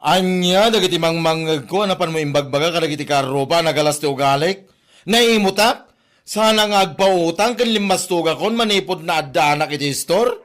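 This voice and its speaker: A man acting a feminine, sharp-tongued store owner with a Filipino accent, nagging a debtor sarcastically in a comedy drama. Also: on the high side, brisk, lightly acted. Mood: angry confronting. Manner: sarcastic, nagging, emphatic scolding.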